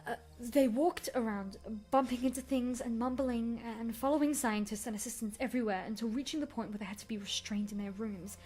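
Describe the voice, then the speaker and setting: A woman's voice: on the high side, fairly quick, few pauses, a little mellow, lightly acted. A woman playing a nervous, hesitant researcher delivering a report in an audio drama.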